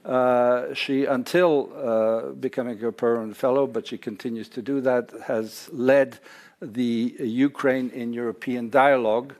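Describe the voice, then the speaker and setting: Guy hosting a panel, mid pitch, lots of uh pauses. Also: fairly even intonation, a little mellow. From Serbia. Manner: hesitant, many filler pauses. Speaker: conference host. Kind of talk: introducing speakers at a panel. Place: lecture hall or library.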